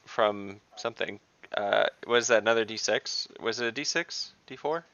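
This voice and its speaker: A man's voice: fairly low pitch, normal speed, creaky, sharp tone, no room sound, highs cut off. A man chatting casually as a player in an online tabletop game, jokey and often thinking aloud.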